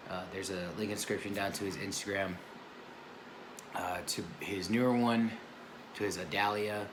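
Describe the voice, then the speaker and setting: A man with a raspy, sore voice, talking casually to camera in a car vlog with lots of fillers. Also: on the low side. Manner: laid-back, rambling with many fillers. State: sore, hurting voice. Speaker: car vlogger. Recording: clear background noise.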